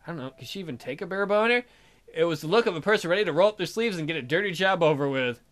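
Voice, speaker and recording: A man's voice: a little high, animated, rapid-fire, fairly bright tone. A man hosting a let's play, reading a visual novel aloud and breaking off to add irreverent, amused comments.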